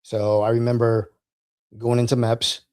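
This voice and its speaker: A man's voice: medium pitch, flat delivery, unhurried, darkish tone. A man speaking as a military veteran and podcast guest, telling personal stories in a casual, conversational way.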